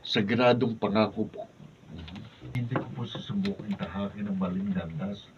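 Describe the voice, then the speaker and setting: A man plays an earnest young college student in a radio drama, a dutiful son. His voice sits slightly low. It is heard from a distance on a dull, noisy studio recording. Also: fairly even intonation, normal speed, lightly acted. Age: young adult. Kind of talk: Filipino radio drama acting. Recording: distant, muffled, noisy studio camera audio.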